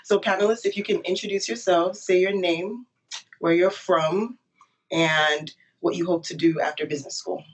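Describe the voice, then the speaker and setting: A woman's voice: fairly low pitch, fairly even intonation, moderate pace, breathy edge. An upbeat young woman from Nigeria hosts a webinar panel and chats easily with the guests. She sits a little away from her microphone on a video call, so her voice sounds distant. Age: young adult. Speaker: moderator. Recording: distant from the mic.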